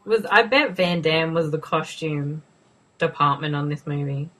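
A woman's voice: low-pitched, unhurried, a bit bright. A young woman casually co-hosting a movie commentary, joking, sarcastic and swearing freely. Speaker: co-host.

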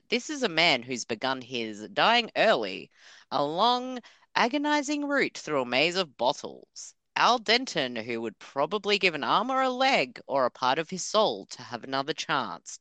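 Aussie woman podcast host, low lively voice, dry close sound. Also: dry recording. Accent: Australian. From Australia. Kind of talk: conversational episode discussion with read-out notes.

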